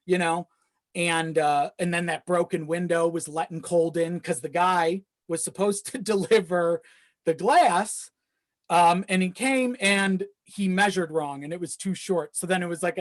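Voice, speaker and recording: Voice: medium pitch, dry recording, clean studio sound. A podcast guest chatting casually and telling personal stories in a rambling way, swearing freely and often chuckling mid-sentence. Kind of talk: casual chat, personal storytelling.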